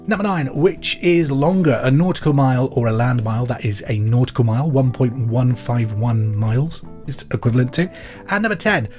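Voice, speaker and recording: A man's voice: mid-range voice, darkish tone. A man with a British accent hosting a pub quiz podcast in an informal, chatty way with jokey asides.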